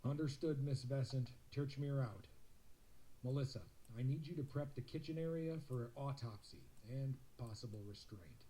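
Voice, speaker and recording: Mellow, businesslike starship officer, acted by a man. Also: medium pitch, normal speed, lightly acted, rough recording. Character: calm male starship officer, wry and businesslike. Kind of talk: sci-fi audio drama acting.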